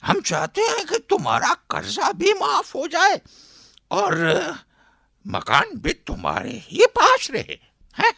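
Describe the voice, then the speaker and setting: Woman playing a shrill, creaky, scheming old man. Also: wildly swooping pitch, sharp tone, no room sound, studio quality, heavily acted. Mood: wheedling. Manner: drawn-out, sing-song wheedling with chuckles. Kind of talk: radio drama acting.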